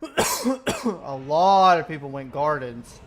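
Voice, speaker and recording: A man's voice: a little high, sing-song, slow, slight background noise. A young man streaming a game, chatting casually and rambling in half-finished, self-correcting thoughts. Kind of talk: casual gaming stream chat.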